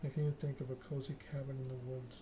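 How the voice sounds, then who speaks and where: A man reading a narrative game aloud in an ASMR style, in a measured storybook manner. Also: mid-range voice, level tone, background noise. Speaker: let's play host reading game text aloud. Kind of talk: ASMR narration of a visual novel.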